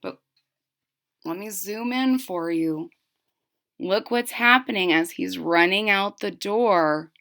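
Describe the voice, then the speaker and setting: Female children's storybook reader: unhurried, bright, expressive, with explanatory asides. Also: medium pitch.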